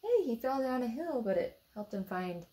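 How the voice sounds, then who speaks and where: A woman in her thirties with an American accent, reading a picture book aloud to young children expressively, pausing to ask them simple questions. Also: fairly high pitch, big pitch swings, mellow. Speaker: storytime reader for children. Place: indoor room beside a book display.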